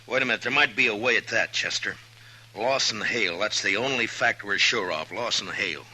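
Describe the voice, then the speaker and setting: Deep-voiced stern frontier marshal. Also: male voice, a little fast, bright, forceful tone, breathy edge, full character voice, low-quality recording. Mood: brooding, urgent. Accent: American. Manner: curt, commanding. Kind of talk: 1950s radio western acting. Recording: 1950s radio recording with music.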